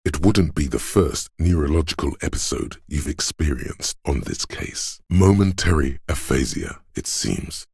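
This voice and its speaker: A man voicing the cold, analytical inner voice of logic in a detective's mind for a video game, with measured, dry, condescending delivery and a very deep, gravelly, husky tone. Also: no echo, full character voice. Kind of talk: video game narration in second person.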